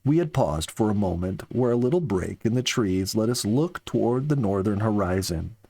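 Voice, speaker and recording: A man hosting and reading a family audiobook as narrator, in a measured, dramatic storytelling delivery. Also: lowish voice, expressive, mellow, slightly rough, no room sound. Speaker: storyteller and host.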